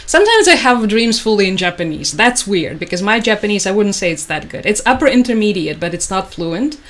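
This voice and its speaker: Young woman rambling on a livestream, lots of uhs and likes, middle pitch. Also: very animated, fairly quick. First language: Russian. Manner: chatty, rambling with frequent fillers and restarts. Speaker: livestream host. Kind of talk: casual livestream chat.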